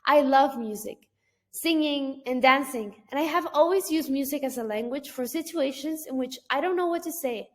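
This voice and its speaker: A woman's voice: high-pitched, normal speed. A young woman from Mexico, a Spanish speaker with a Mexican accent, reading a class presentation in careful, list-like phrases. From Mexico (Guadalajara). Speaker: student.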